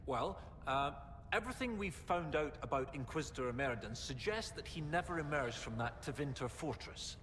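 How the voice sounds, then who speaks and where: An actor playing a mild, bookish professor in a fantasy video game, polite and a little fussy and hesitant. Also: male voice, lively intonation, moderate pace, a bit bright. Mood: hesitant, explaining. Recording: reverberant hall with faint rumble.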